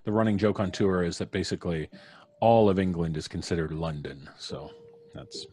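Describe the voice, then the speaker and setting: North american guy hosting a livestream, lowish voice, jovial, kinda flowery with the intros, chatty. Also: fairly even intonation, moderate pace, dark tone, husky. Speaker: host and showrunner of a storytelling podcast. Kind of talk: casual live-stream introductions. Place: at home, on a live video stream.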